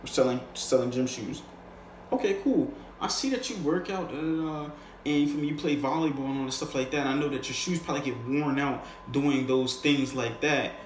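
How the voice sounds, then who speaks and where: A man with a mid-pitched voice talks like a sales coach in an unscripted lesson. He is conversational and slangy, often checking that the listener follows. The room is clearly echoey, with faint noise behind him. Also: moderate pace. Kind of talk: casual, unscripted instructional talk.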